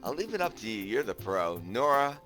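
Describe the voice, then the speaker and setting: A man's voice: medium pitch, sing-song, brisk, fairly bright tone, faint music behind. A man playing an easygoing, bashful young protagonist in a visual novel game, talking casually and hesitantly. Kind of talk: visual novel game voice acting with inner narration.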